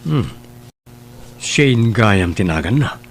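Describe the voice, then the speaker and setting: A man's voice: lowish voice, very animated, slow pace, slight background noise, heavily acted. A man playing a carefree, flippant young womanizer in an audio drama, with an Ilocano accent. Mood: curious. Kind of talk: Ilocano radio-style audio drama acting.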